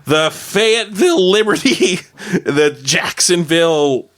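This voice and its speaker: Male radio co-host. Animated, swinging intonation, unhurried delivery, casual and jokey. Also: high voice. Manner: jokey, chuckles often. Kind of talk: casual radio chat reading out sports listings.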